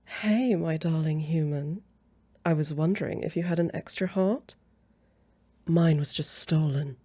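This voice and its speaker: A woman's voice: lowish voice, expressive, unhurried, warm tone. A woman playing a haughty, jealous female vampire in an ASMR roleplay, with a snippy, sarcastic way of talking. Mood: condescending, flirty. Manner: snippy, sarcastic, easily flustered.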